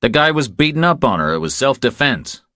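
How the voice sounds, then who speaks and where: A man's voice: mid-range voice, big pitch swings, a little fast, bright, forceful tone, no room sound, clean studio sound, pitched above the performer's own voice. A man voicing a blunt, terse former military policeman in an audiobook, speaking with urgent insistence. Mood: urgent, insistent.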